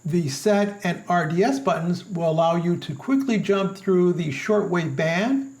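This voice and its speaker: A man's voice: high-pitched, measured pace, a little mellow. A man reading a scripted product review as its narrator.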